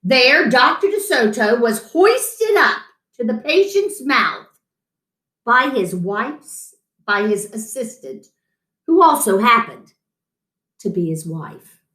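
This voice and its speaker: A middle-aged woman reading a picture book aloud to children in an expressive story-time style. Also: on the high side, slow pace. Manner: animated, chatting to an unseen guest between lines. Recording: roomy echo.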